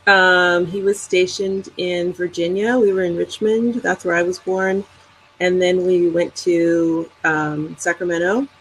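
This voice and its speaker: A middle-aged woman from the United States, a physician answering interview questions in a relaxed, easygoing way. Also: lowish voice, unhurried, a little hiss or hum, rough recording. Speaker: physician guest.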